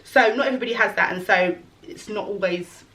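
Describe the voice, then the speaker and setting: A woman in her thirties talks through her wardrobe in a loose, rambling way, often stopping to correct herself. Her voice is going a little hoarse. She sits a little back from the mic. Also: medium pitch, brisk, sharp tone, recorded from a distance, low-quality recording. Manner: chatty, rambling, self-correcting. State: losing her voice. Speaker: fashion vlogger. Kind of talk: casual fashion try-on chat.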